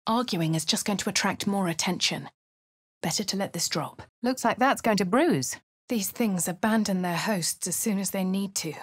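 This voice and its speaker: A woman's voice: mid-range voice, normal speed, husky, dry recording, clean studio sound, natural voice. Woman voicing a posh aloof adventurer. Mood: measured. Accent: posh English. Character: posh female adventurer, haughty and composed. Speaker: player character. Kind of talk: video game voice lines and narration.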